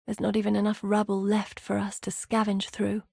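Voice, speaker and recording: A woman's voice: mid-range voice, completely flat delivery, creaky, dark tone, breathy edge, no room sound, clean studio sound. An actress voicing a weary, earnest woman who leads exiled warriors in a video game, speaking in a grave, articulate manner. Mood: grieving, weary. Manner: measured, grave, articulate storytelling. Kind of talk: scripted video game voice acting.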